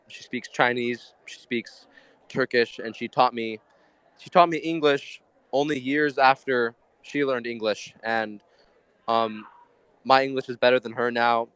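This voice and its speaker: A man's voice: mid-range voice, a bit bright, clear, resonant tone, no room sound, low-quality recording. A young man speaking as a guest in an informal group chat, earnest and grateful. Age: teens to young adult.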